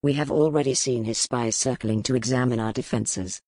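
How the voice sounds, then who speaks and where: A woman narrator voicing a mature medieval Duke, stern and protective, with a measured, formal, authoritative manner. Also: rumbling low, warm tone, no echo, voice pushed down.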